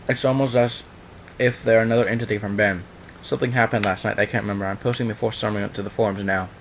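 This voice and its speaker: A man's voice: lowish voice, a little hiss or hum, rough recording. A young man doing an informal amateur dramatic reading, dropping into casual offhand asides, recorded at home. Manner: informal, breaks off into offhand remarks. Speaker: online video maker reading a horror story aloud.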